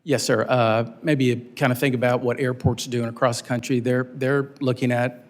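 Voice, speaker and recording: A man's voice: medium pitch, level tone, moderate pace. An American man testifying as an airport executive, explaining things in a plain-spoken, practical way.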